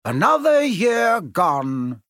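A man's voice: high voice, wildly swooping pitch, very slow, fairly bright tone, no echo, voice pushed up, full character voice. A man puts on an old wizard headmaster from a storybook. He is cheerful and whimsical, addressing others grandly in a raised voice. The sound is very dry.

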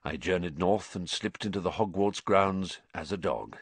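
A man voicing a gaunt, bitter fugitive with a croaky, hushed voice and a grave, intense manner in a story. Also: low voice, normal speed, no echo. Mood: haunted, intense.

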